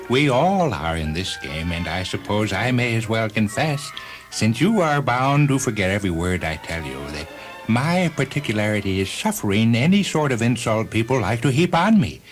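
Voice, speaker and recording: Male reader as a self-pitying director, formal, aggrieved, animated intonation over faint music. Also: on the low side, normal speed. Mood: gloomy, resigned. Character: sour, self-pitying Pakistani show director, man. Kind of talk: dramatized short-story reading.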